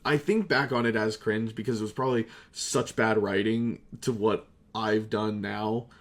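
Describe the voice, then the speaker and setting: A young man rambling casually as a video creator, self-deprecating, excitable and quick to swear. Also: fairly low pitch. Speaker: video creator, amateur voice actor. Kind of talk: casual rambling retrospective vlog.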